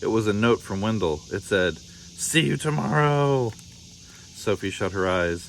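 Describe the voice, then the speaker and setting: Male storybook reader, lowish voice, expressive narration for children, faint ambient noise. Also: moderate pace.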